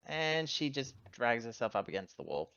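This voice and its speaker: A tabletop gamer chats casually in the middle of play. The voice sits at a medium-low pitch. The sound is very dry and a little muffled, as on a voice chat. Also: big pitch swings, moderate pace, a bit bright, no room sound. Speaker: player in a tabletop game. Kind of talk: casual chat during roleplay game.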